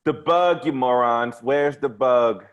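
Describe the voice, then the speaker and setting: A man's voice: medium pitch, slow pace, clean tone, lo-fi sound, natural voice. A man voicing a very old, menacing mafia henchman with taunting tough-guy talk in an amateur table read. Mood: gruff demanding.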